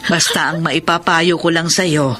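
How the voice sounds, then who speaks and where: A woman's voice: sits low, steady tone, measured pace. An actress playing a caring, firm mother in a radio melodrama, speaking with earnest emphasis as she counsels. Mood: earnest advising. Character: caring, firm mother advising her grown daughter. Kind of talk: Filipino radio melodrama acting. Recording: dramatic music under voice.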